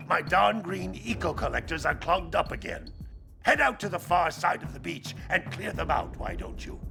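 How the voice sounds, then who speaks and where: A cranky old sage barks orders in a deeply gravelly, slow voice. Clear game music runs underneath. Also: male voice, heavily acted. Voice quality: gravelly, grumbling and commanding. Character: gruff older male sage, bossy and cranky. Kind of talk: video game cutscene acting.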